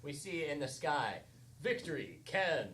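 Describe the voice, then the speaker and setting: Animated, theatrical improv narrator. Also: medium pitch, a little slow. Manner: theatrical, playful. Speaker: improv comedy performer narrating. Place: theatre stage. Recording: noisy live stage recording.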